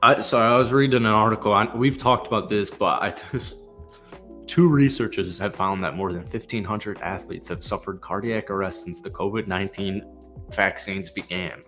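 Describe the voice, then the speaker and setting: A man's voice: fairly low pitch, normal speed, pushed and bright, soft background music. Laid-back man co-hosting a stream. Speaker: co-host of a live basketball show. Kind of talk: casual live-stream chat.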